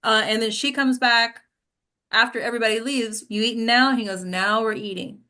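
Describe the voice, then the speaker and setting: A woman's voice: a little high, steady tone, normal speed, pushed and bright. A woman leading an informal group discussion as an acting coach, speaking in an encouraging, emphatic way with frequent check-in questions.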